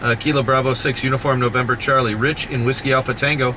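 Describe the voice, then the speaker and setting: A man's voice: mid-range voice, steady tone, fast talker. A man running a ham radio contest from a vehicle, reading off call signs in the phonetic alphabet in clipped, repetitive exchanges. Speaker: amateur radio contest operator. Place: inside a vehicle. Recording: loud radio static over a vehicle.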